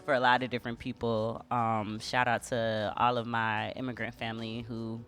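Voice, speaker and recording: A woman's voice: very deep voice, level tone, normal speed, fairly bright tone. A woman co-hosting a community radio show in an informal, playful, colloquial way. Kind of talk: casual community radio chat.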